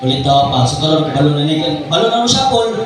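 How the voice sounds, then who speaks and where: A man's voice: a little high, sing-song, brisk. A man with a Visayan Filipino accent working a live party as magician and host, playfully calling out prompts to the audience. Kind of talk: live magic show patter with audience games. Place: echoey party venue with music and crowd. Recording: echoey party venue, distant mic, loud music and crowd.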